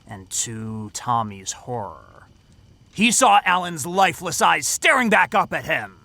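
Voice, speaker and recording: A man acts out a cheerful young camp counselor in an audio drama who relishes a scary story. His delivery swoops and is full of suspense, with theatrical pauses and a bright, forceful edge. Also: a little high, very animated, creaky, no room sound, full character voice. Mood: suspenseful.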